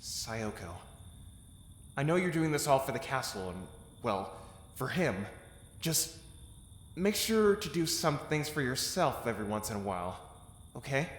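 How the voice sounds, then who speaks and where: A man playing a loyal, caring and wry soldier in an audio drama, speaking in an expressive, conversational way. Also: medium pitch, measured pace, pauses often, reverberant, long reverb tail. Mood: concerned, gentle.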